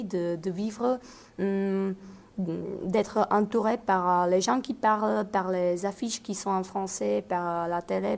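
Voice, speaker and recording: Woman with a gravelly, creaky voice. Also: mid-range voice, darkish tone. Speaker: student guest. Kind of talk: interview answer giving advice.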